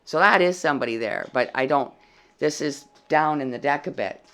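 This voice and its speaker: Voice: medium pitch, normal speed, fairly bright tone. A tarot reader giving a casual, blunt reading with a dry, froggy voice and some swearing. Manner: blunt and plain-spoken, swears freely.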